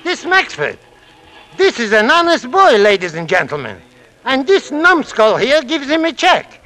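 A scrappy, blustering shopkeeper in an old 1940s radio play. His voice is very high and bright, swooping about with sarcastic indignation. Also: wildly swooping pitch, a little slow, exaggerated voice, rough recording. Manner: blustering, sarcastic, inverted Yiddish-flavoured phrasing. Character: excitable, indignant male shopkeeper, scrappy and wisecracking.

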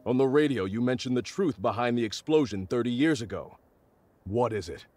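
An actor playing a proud, intense tank commander with formal military authority in a video game. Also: male voice, mid-range voice, expressive, fairly quick, background noise, natural voice. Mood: grave, formal. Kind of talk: English video game cutscene acting.

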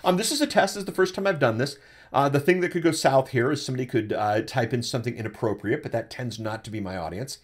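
A man in his forties with a deep voice, hosting a live webcast and answering chat questions about podcasting from his desk. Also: fast talker. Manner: animated, repeats words when starting a thought. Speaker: podcasting coach and webcast host. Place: indoors at a desk, webcam framing. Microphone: desktop USB microphone.

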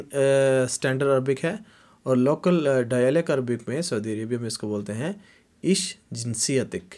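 A man from Pakistan filming a casual language lesson in a small room, repeating example phrases slowly and raising his voice on them. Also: medium pitch, a little mellow. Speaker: language teacher.

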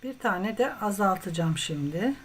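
A woman's voice: mid-range voice, expressive, a little slow. A woman hosting a step-by-step craft tutorial, talking as she works and addressing viewers as friends. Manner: counts stitches aloud, addresses viewers as friends. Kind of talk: step-by-step crochet tutorial narration while working. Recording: clear background noise, room ambience.

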